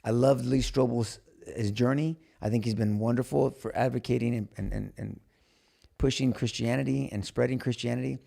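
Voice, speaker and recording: A man's voice: mid-range voice, steady tone, normal speed, vocal fry, mellow. A man hosting a podcast in casual, blunt chat, swearing freely and restarting his sentences often. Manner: blunt, profane, lots of restarts. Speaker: podcast host.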